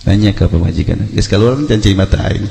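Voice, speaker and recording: A man with a Sundanese accent preaching as a storyteller, humorous and theatrical, acting out dialogue and swinging between hushed and loud delivery. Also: on the low side, a little fast, gravelly, background noise. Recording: echoey hall with long reverb.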